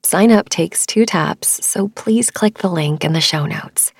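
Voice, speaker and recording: A woman hosting a sleep meditation podcast, speaking softly and gently, with some breathiness. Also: on the low side, unhurried, husky, no echo. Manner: gentle, reassuring. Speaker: podcast host. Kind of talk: soothing sleep meditation narration.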